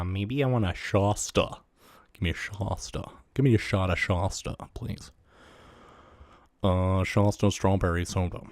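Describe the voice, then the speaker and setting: Giggly man with animated, swooping delivery. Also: lowish voice, no echo, clean studio sound. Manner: giggly, often breaking into laughter while reading. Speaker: book reviewer reading excerpts aloud. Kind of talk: casual commentary with comic read-aloud.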